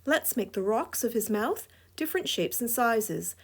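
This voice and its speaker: A woman's voice: on the high side, animated, a little slow, slightly rough. A woman with a scratchy voice giving a drawing tutorial voice-over, playful and chuckling often. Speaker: drawing instructor.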